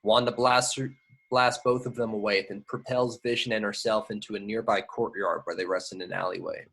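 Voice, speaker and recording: A man's voice: fairly low pitch, steady tone, brisk, vocal fry, a bit bright, breathy edge. A man reading screenplay action lines at a table read, matter-of-fact with the odd stumble. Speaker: stage-direction reader.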